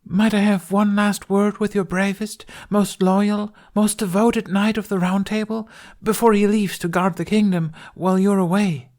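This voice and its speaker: A man's voice: high voice, little pitch movement, normal speed, a little mellow, pitched above the performer's own voice. A man voicing a theatrical young woman who declaims grandly as queen and villain in a storybook stage play. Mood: pleading, regal.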